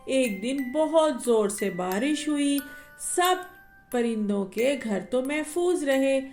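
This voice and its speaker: A woman narrating a bedtime story for children in a slow, gentle way. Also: sits high, expressive, faint music behind.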